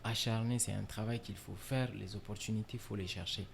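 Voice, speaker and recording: A man from Niger, a musician guest in a casual interview, relaxed and filler-heavy, dropping in English words. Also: on the low side, brisk, creaky, a little hiss or hum.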